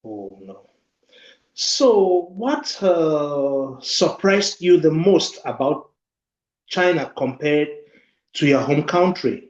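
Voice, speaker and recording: A man's voice: on the high side, lively intonation, slow pace, darkish tone. Man hosting, in an echoey, dull-sounding room. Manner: friendly, asks short questions. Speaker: interview host. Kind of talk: hosting a casual interview.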